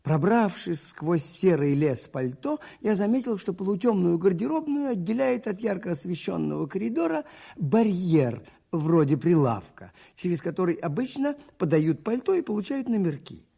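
Husky, high-voiced priest detective. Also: male voice, very animated, dark tone, exaggerated voice. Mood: suspenseful. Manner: measured, suspenseful storytelling. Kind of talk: radio play acting, first-person narration.

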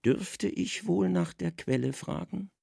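An actor playing a guarded secret service chief in a radio play, calm, deferential and cunning. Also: male voice, high voice, unhurried, gravelly, breathy edge, dry recording, clean studio sound, full character voice.

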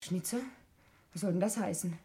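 An actress playing an anxious, defensive young heiress in a 1960s German radio play, hesitant and indignant when challenged. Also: female voice, lowish voice, moderate pace, mellow, breathy edge. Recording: faintly noisy 1960s radio recording.

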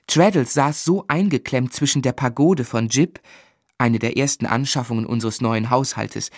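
Radio play lead: male actor as a gently exasperated young husband and storyteller, mid-range, articulate, very close dry studio sound. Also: medium pitch, normal speed, no room sound, close to an everyday voice. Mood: wry narrating. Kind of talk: literary narration and dialogue in a German radio play.

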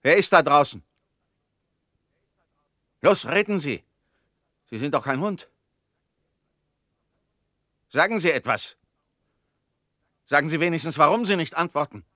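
A man's voice: on the high side, expressive, measured pace, pauses often, bright, forceful tone, no room sound, studio quality. Tense, fearful, suspicious man. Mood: agitated demanding. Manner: insistent, demanding, short clipped sentences. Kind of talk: German radio play acting.

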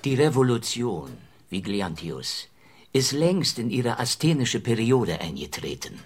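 Blustery opinionated gentleman, pompous, raspy low voice, swooping delivery, radio play. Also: sing-song, a little slow. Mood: opinionated, scornful. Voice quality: husky. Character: vital, apoplectic, opinionated gentleman. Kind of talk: radio drama acting.

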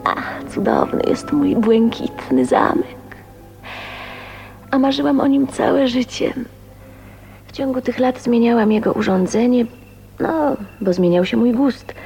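A woman's voice: a little high, animated, a little slow, pauses often, dark tone, soft background music. An actress playing a shy, wistful woman of twenty-nine in love, speaking intimately and hesitantly in a radio drama. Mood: dreamy, wistful. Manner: dreamy, emotional, hesitant phrasing with breathy whispers. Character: shy, dreamy 29-year-old spinster, wistful and newly in love. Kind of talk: radio drama acting, intimate monologue and dialogue. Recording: faint noise, 1980s radio drama.

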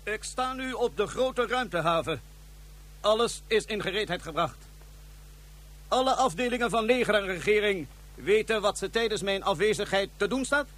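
An actor playing a calculating male ruler who gives orders smoothly in a radio play. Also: sits high, lively intonation, normal speed, fairly bright tone. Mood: scheming. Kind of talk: 1970s radio drama acting.

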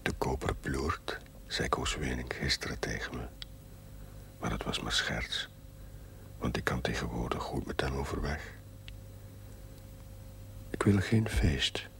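Middle-aged chaplain, extremely deep, unhurried, hushed, modest, introspective diary narration, television drama. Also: male voice, gravelly, whispered, full character voice. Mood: wistful, reflective. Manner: measured, musing. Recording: faintly noisy 1970s television soundtrack.

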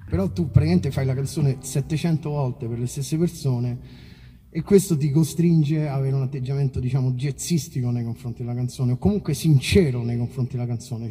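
A man's voice: medium pitch, warm tone, husky, long reverb tail. A man with a Roman Italian accent chatting casually on stage as a singer-songwriter, informal, rambling and self-deprecating as he tells stories. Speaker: singer-songwriter talking between songs at a concert. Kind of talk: casual onstage storytelling.

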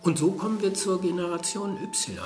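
A male professor and education researcher explaining his ideas in a thoughtful, measured interview. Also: high-pitched, noisy background. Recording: roomy echo.

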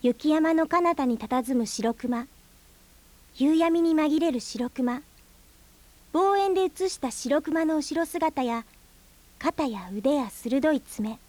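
Earnest, high-voiced young girl. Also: slow pace, a little mellow. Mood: reflective, narrating. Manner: polite, questioning speech to elders in dialogue. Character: earnest young girl, anxious niece narrating her own story. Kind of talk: Japanese radio drama acting with first-person narration. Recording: 1990s FM radio drama on cassette.

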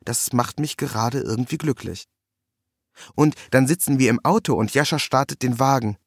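A man's voice: mid-range voice, moderate pace, creaky, slightly rough, no room sound. A man reading a scripted first-person story in a wry, ironic manner. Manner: wry, ironic delivery with acted quotes. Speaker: narrator.

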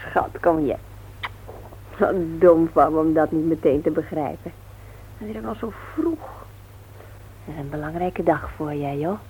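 An actress playing an affectionate, down-to-earth, gently teasing young wife in an expressive 1960s radio serial style. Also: female voice, sits low, moderate pace, long pauses, natural voice. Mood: tender, proud. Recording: muffled, faintly noisy old radio recording.

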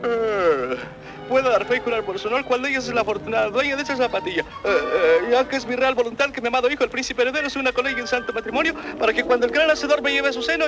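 An actor playing a fussy, pompous, doddering grand duke in a children's audio fairy tale, speaking in flowery phrases with faltering hesitations. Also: male voice, very high-pitched, low-quality recording. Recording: music behind the voice.

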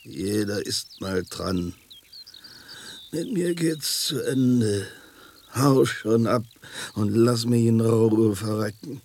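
An actor playing a tough, grumpy, proud old street tomcat in a radio drama, gruff and curt. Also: male voice, lowish voice, slow, darkish tone, a little hiss or hum. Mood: bitter, pained.

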